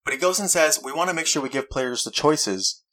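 A man's voice: fairly high pitch, expressive, fairly quick, pushed and bright. A young man hosting a casual talk show, earnestly arguing his point and reading quotes aloud. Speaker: podcast host. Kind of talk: casual debate chat.

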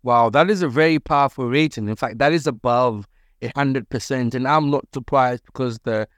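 A man's voice: mid-range voice, normal speed, no room sound. Upbeat male podcast host, dry sound. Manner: upbeat, formal introductions. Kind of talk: podcast interview hosting.

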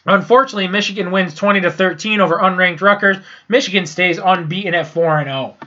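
A young man with a high, bright, edgy voice, stuffed up from a sinus infection. He rambles through a casual sports recap, blunt and profane, with a slightly muffled recording. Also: normal speed. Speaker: sports podcast host.

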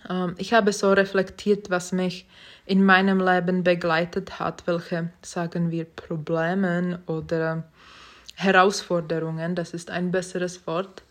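Young woman, non-native speaker, slow and hesitant, flat even delivery, lower voice, podcast host. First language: not German. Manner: hesitant, many fillers and restarts; self-reflective, encouraging. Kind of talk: casual personal monologue.

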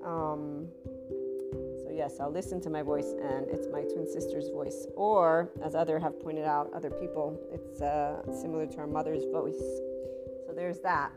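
A woman hosting a spiritual podcast, talking in a rambling, chatty way with asides; her voice is a little rough and deeper than usual today. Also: steady tone, measured pace, over loud music. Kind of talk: casual monologue.

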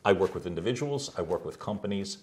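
Loud, lively middle-aged man talking. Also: low-pitched, a little hiss or hum. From United States. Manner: loud, animated storyteller with quick laughs. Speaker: guest, executive voice coach. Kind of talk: conversational interview. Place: his home.

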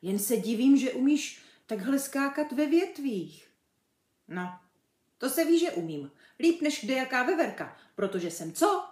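A woman's voice: sits high, sing-song, a little mellow, pitched above the performer's own voice. A woman voicing a cheeky, boastful young male chimpanzee for a children's story, chatty and brash. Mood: boastful, teasing. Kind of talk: children's story character reading. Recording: roomy echo.

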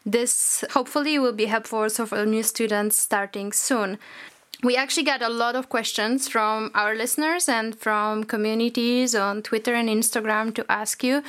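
Podcast host, woman, slightly high voice, friendly measured interviewer. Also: a bit bright. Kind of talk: hosting a panel discussion.

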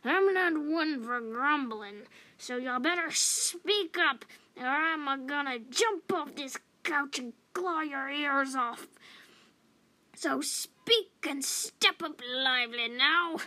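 A woman voicing an ancient female cat in a storybook, croaky, gruff, bossy and folksy. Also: sits high, slow, bright, forceful tone, pitched above the performer's own voice, heavily acted. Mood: gruff, demanding. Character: crotchety, ancient, tough female stray cat.

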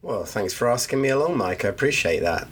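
A man's voice: medium pitch, lively intonation, brisk, fairly bright tone, slight background noise. A man who is a photographer, congested from the flu, explaining his ideas thoughtfully on a podcast from his studio over the line. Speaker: guest photographer. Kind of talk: podcast interview. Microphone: phone line.

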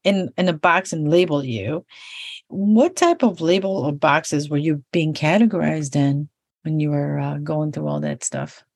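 A woman's voice: deep voice, measured pace, darkish tone, no echo, studio quality. A woman hosting a podcast, asking her guest questions in a friendly way. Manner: friendly, laughs along, short backchannels. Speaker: podcast host. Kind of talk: interviewing a guest.

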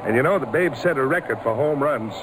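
Actor as folksy American old-timer, noisy radio recording. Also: male voice, medium pitch, expressive, brisk, lightly acted. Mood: admiring, thoughtful. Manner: folksy, reminiscing. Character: old-timer in the stands, warm baseball storyteller. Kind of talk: 1940s American radio drama storytelling.

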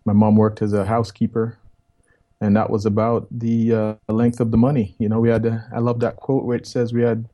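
A man in his fifties from Jamaica, with a Jamaican accent, telling his life story as an interview guest in a rambling, relaxed way, repeating words and saying "man". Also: fairly low pitch, fairly quick. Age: 50s.

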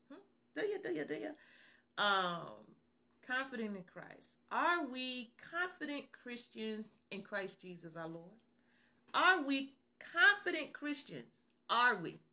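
A woman's voice: medium pitch, pushed and bright. A middle-aged woman preaching on the radio, opening with prayer and then asking rhetorical questions and repeating phrases.

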